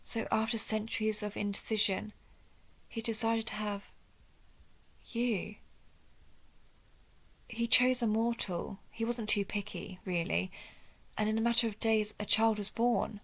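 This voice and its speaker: A woman playing a wry vampire who hunts her own kind, speaking in a deliberate, hushed way in a scripted audio roleplay. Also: mid-range voice, fairly even intonation, gravelly, rough recording. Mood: grave storytelling.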